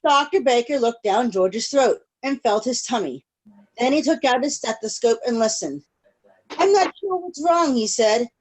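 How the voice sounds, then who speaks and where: A woman reading a picture book aloud to young children on a video call, pausing to check in with them using simple questions. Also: on the high side, a little mellow, poor audio. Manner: pauses to check in with young listeners.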